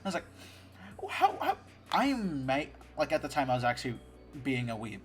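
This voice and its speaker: A man's voice: medium pitch, huge pitch swings, normal speed, soft background music. A man hosting a casual podcast chat, talkative, laughing often and stumbling over restarts. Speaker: podcast host.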